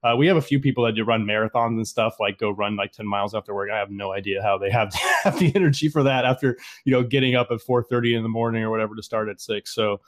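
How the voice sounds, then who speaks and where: A man in his late thirties hosting a casual interview, chatty and quick, full of fillers. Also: fairly low pitch. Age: 30s. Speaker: podcast host, zookeeper.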